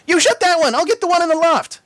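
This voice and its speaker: Here is a man doing an eager little farm-girl pony from a storybook. The voice is very high and squeaky and swoops all over the place. It sounds dry and close. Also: a little fast, no room sound, heavily acted. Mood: urgent. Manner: folksy, excitable, high squeaky. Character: young female pony, Southern farm filly, eager.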